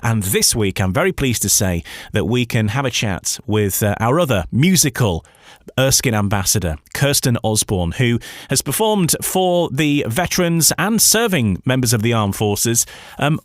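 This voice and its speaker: A man's voice: medium pitch, no room sound, clean studio sound. A man hosting a radio interview, hesitant, with many fillers and restarts. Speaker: radio host.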